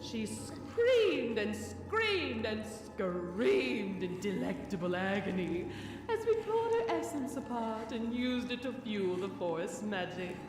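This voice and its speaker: Slow, echoing, gloating female monster. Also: mid-range voice, sing-song. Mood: gloating, cruel. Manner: slow, theatrical, savoring cruelty. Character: gloating parasitic monster wearing a dead pony's body. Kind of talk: audio drama acting. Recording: echoing, long reverb over music.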